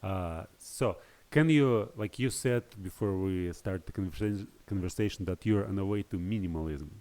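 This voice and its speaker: A man hosting a podcast interview, asking halting questions with many repeated starts. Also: low voice, mellow. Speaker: podcast host.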